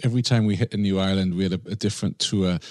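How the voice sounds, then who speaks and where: A man's voice: low voice, steady tone, very fast, a little mellow, dry recording, studio quality. A man hosting a conversational interview, affirming his guest and sharing personal anecdotes between questions. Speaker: podcast host, interviewer.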